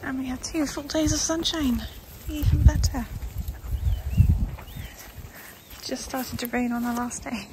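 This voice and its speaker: High-voiced woman chatting to her dogs. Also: steady tone, slow, pauses often, background noise. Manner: chatty, talking to her dogs in a sing-song voice. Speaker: vlogger with her huskies. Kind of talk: casual vlog narration. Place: holiday cottage and countryside, indoors and out.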